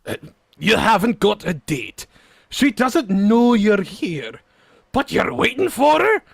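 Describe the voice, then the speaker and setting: A man's voice: high voice, wildly swooping pitch, takes it slowly, vocal fry, slightly rough, no echo, clean studio sound, full character voice. A man playing a kindly, teasing policeman in a vintage-style radio play. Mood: fatherly. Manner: fatherly, teasing. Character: kindly, amused male beat cop. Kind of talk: 1940s radio acting.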